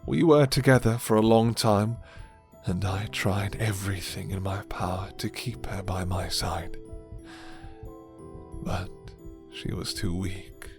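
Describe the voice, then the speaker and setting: A man voicing a suave, menacing, condescending vampire lord in an ASMR roleplay, courtly and theatrical, with deliberate delivery. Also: deep voice, fairly even intonation. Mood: brooding, sorrowful. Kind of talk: ASMR roleplay character acting. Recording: music behind the voice.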